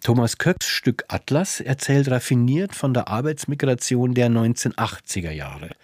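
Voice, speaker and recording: A man's voice: medium pitch, animated, moderate pace, darkish tone, breathy edge, no room sound, studio quality. A man presenting radio plays in scripted narration.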